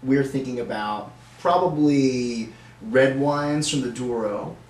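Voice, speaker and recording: A man's voice: mid-range voice, slow, a little mellow. A middle-aged man hosting a live webcast, chatty and upbeat with frequent fillers. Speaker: host. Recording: roomy, faint noise.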